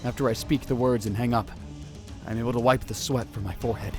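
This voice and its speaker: A man's voice: mid-range voice, steady tone, quick pace, darkish tone, natural voice. Man acting a brooding young conspiracy theorist, music behind. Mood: brooding, secretive. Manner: theatrical, brooding, self-questioning. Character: anxious, dramatic young male conspiracy theorist narrating his adventure. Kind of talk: first-person visual novel narration, acted. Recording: music bed under the voice. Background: background music.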